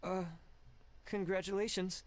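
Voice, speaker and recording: An actor voicing a timid, agreeable man in a video game, speaking in a meek, halting way. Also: high-pitched, level tone. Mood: hesitant, meek. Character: timid, hesitant, agreeable young male candidate.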